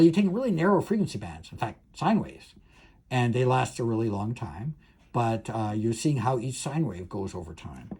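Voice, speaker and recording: A man's voice: medium pitch, a little mellow, husky. A man lecturing as a professor on a technical subject, explaining step by step in a hesitant, thinking-aloud way with self-corrections.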